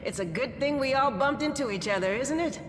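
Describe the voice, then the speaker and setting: Game role: bright-spirited young fantasy adventurer, high voice, breezy enthusiasm, echoey hall with faint noise. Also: female voice, expressive, fairly quick. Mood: cheerful. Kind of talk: video game voice acting.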